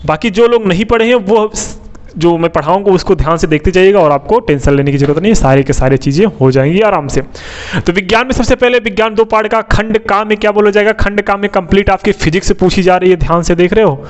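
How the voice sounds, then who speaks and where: A man's voice: sits high, fast, dull audio. A man teaching in an informal lecture style, repeating key points, asking questions and answering them himself, often adding tag questions. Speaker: exam coaching teacher. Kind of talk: informal explanatory lecture on an exam syllabus.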